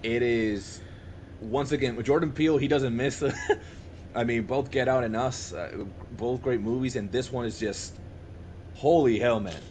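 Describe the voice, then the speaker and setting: A man's voice: medium pitch, a little slow, a little mellow, a little hiss or hum. A young man chatting casually and enthusiastically with friends as a podcast co-host, often restarting and repeating himself mid-thought. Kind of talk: casual chat among friends.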